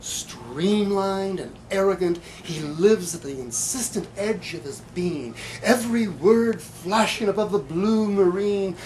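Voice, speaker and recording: A man giving a live poetry reading in a bookstore, with halting, hesitant asides and deliberate delivery. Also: high-pitched, lively intonation, a little mellow, rough recording. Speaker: poet. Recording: light background room noise.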